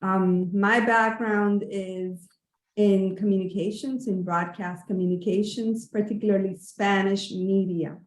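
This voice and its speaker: A woman from Cuba, once a TV news correspondent, introduces herself in a measured, polished way. Her voice has an ordinary middle pitch, and the room around her rings with a noticeable echo. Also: fairly even intonation, a little hiss or hum. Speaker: former television news correspondent. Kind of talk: casual self-introduction. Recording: echoey room.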